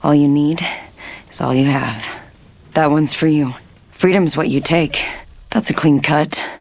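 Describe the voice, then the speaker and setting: A woman's voice: sits low, fairly even intonation, measured pace, darkish tone. An actress playing a cool, stoic female fighter in a shooter game, terse and self-assured. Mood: cold resolve. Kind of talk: video game character voice lines.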